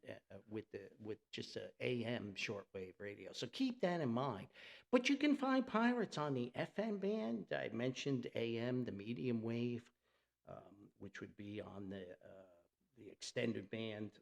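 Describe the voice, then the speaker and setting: A man's voice: mid-range voice, gravelly. A man hosting a podcast in a casual, unscripted monologue, talking with folksy enthusiasm like a hobbyist sharing what he loves. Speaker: podcast host and radio hobbyist.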